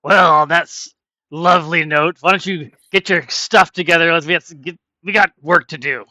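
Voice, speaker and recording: A man's voice: fairly high pitch, moderate pace, sharp tone, dry recording. A man narrating as game master of a tabletop game, laid-back and chatty with frequent laughs.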